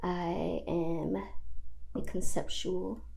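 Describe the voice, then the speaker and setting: A woman's voice: fairly low pitch, little pitch movement, drawn-out words, creaky, warm tone. Laid-back, slangy woman guest from Virginia. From Virginia, United States. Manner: laid-back, slangy, brief blunt answers. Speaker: talk show guest, artist. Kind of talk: casual interview chat.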